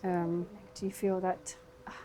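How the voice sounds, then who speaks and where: A woman in her twenties, raised in Sweden, who works as a dentist. She speaks softly and reflectively in a documentary interview, with frequent fillers, at her dental practice. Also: fairly low pitch, level tone, measured pace. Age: 20s.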